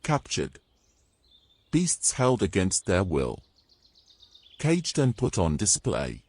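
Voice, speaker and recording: A man's voice: low-pitched, huge pitch swings, takes it slowly, darkish tone, breathy edge, no echo, heavily acted. A man playing a grumbling, exasperated adventurer in a fantasy audio drama, haughty and formal in his phrasing. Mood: explaining. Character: grumbling, exasperated young adventurer carrying a mermaid.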